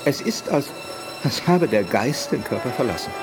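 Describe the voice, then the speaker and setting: An actor playing a baffled, insistent doctor in an audio drama. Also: male voice, medium pitch, huge pitch swings, normal speed, loud music behind the voice. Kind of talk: 1980s German audio drama acting. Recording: clear background noise. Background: noise.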